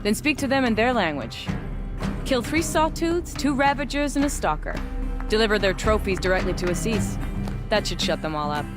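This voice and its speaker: An actress playing a proud, hard-bitten hunter in a video game, with a wry and blunt way of talking. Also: female voice, mid-range voice, expressive, moderate pace, over music, natural voice. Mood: blunt, instructive.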